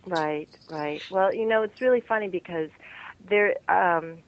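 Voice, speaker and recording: A woman's voice: on the low side, unhurried, muffled recording. An American woman author being interviewed, speaking in a thoughtful way, searching for words and laughing often. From United States. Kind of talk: reflective interview talk.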